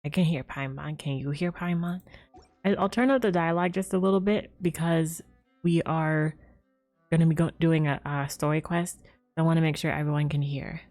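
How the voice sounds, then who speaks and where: A woman's voice: deep voice, normal speed, darkish tone, soft background music. A woman livestreamer chatting casually and a little hesitantly to viewers in an easygoing way. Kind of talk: casual gaming stream chat.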